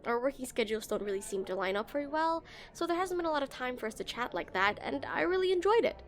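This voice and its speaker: A woman's voice: high-pitched, animated, quick pace, soft background music. A woman playing an awkward, smitten game developer on a dinner date in a romantic audio roleplay, nervous and stammering. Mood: shy, earnest. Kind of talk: scripted romantic roleplay, spoken to the listener.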